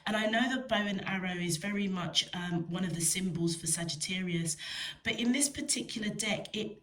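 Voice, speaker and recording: A woman's voice: mid-range voice, rough recording. Woman reading tarot with a stuffy cold. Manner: gentle, meandering, with frequent hesitations; earnest, addresses the listener directly. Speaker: tarot reader. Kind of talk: weekly tarot card reading.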